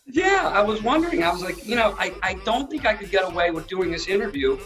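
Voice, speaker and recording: A man with a high voice hosts a casual interview. He sounds upbeat and conversational, recorded in a very echoey room with faint music. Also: sing-song, quick pace, fairly bright tone. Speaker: show host, interviewer.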